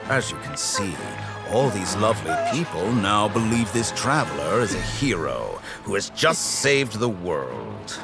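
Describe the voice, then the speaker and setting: Suave smug evil scientist, lowish voice, very dramatic, anime game, loud music under it. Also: male voice, sing-song, gravelly, exaggerated voice. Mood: gloating, condescending. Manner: theatrical, sardonic, deliberate. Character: suave, smug mad-scientist villain, theatrical and condescending. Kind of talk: anime game villain acting. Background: game background music.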